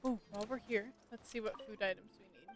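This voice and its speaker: A woman's voice: mid-range voice, steady tone, quick pace, soft background music. A woman giving casual live gameplay commentary as a streamer, informally thinking aloud. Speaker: game streamer.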